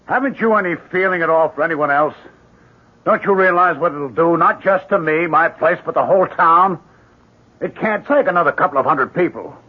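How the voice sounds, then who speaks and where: An actor playing a hot-headed, embittered husband in a radio drama, with an emphatic delivery. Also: male voice, a little high, normal speed, husky. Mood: pleading, angry. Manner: vehement, emphatic. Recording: dull 1970s radio recording.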